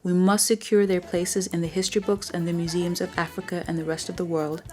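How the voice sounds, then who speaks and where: A woman with a Zambian accent reads a scripted documentary narration in a measured, earnest way. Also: lowish voice, little pitch movement, warm tone. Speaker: film narrator. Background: background music.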